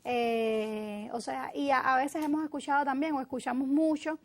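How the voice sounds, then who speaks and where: A woman's voice: high voice, fairly even intonation, moderate pace. A woman explaining as a guest psychologist in a studio conversation, searching for words as she goes. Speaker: guest psychologist on a talk show. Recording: noisy with clicks.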